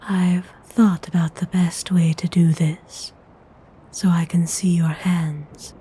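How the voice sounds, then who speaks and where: A woman voicing a centuries-old, courteous and possessive female vampire noble in an intimate, formal ASMR roleplay, whispering in a husky, breathy voice. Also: on the low side, little pitch movement, mellow, a little hiss or hum. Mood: doting. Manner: slow, measured, formal, intimate.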